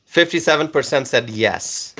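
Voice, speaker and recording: A man whose first language is Bengali hosts a casual livestream, reading out comments and answering viewers. His voice is bright and forward at a middling pitch. He keeps pausing on fillers and repeating words as he talks. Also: lively intonation.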